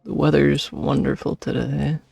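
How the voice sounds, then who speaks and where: A man's voice: a little high, little pitch movement, unhurried, creaky, darkish tone, slightly rough, no room sound, faint music behind. A man streaming a game, giving casual, musing reaction commentary in short puzzled asides.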